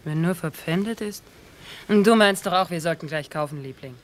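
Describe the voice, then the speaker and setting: A woman's voice: fairly low pitch, moderate pace, lightly acted. An actress playing a calculating, flirtatious camp woman in a German radio play, with a coquettish manner. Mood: coy, calculating.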